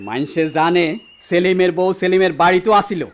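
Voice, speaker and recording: A man's voice: high-pitched, steady tone, fairly quick, slight background noise, lightly acted. An actor playing a righteous, anguished young man with a rural Bangladeshi accent, declaiming accusingly in a radio drama. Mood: anguished, resolute. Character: righteous, anguished grown son defying his wicked father.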